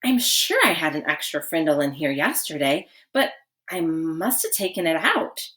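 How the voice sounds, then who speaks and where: A woman's voice: low voice, sing-song, normal speed, a bit bright. A woman voicing a schoolboy sidekick in a storybook, blurting and making a showy act of things. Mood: showy pretence.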